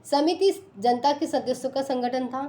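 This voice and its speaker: A woman's voice: sits high, normal speed, dark tone. A woman teaching an exam quiz lesson, reading out questions and options one by one and then giving the answers. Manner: instructional, reads out items one by one.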